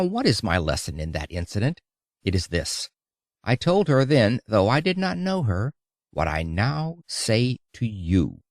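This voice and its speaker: Expressive male audiobook reader, widely swinging pitch. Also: no room sound, studio quality. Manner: theatrical, emphatic delivery with dramatic pauses and rhetorical questions. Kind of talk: audiobook reading of an oratorical public lecture.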